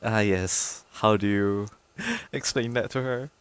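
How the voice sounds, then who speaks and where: A man's voice: fairly low pitch, slow pace, a crowd in the background. A man streaming a video game, casually reacting to the dialogue in short remarks.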